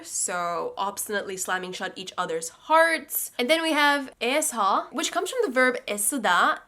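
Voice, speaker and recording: A young woman whose first language is Russian talks through song lyrics in a chatty, informal way. She thinks aloud and corrects herself often. Her voice is bright, with a pitch a little above the usual. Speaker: language learning host. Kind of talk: casual lyrics breakdown lesson.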